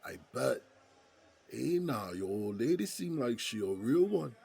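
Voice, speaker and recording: A man playing a good-humored, folksy prison inmate in an audio drama, with a gravelly, husky voice and colloquial drawl. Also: mid-range voice, very animated, measured pace, a little mellow, exaggerated voice. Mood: encouraging. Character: folksy, good-humored male jailhouse buddy named Smokey.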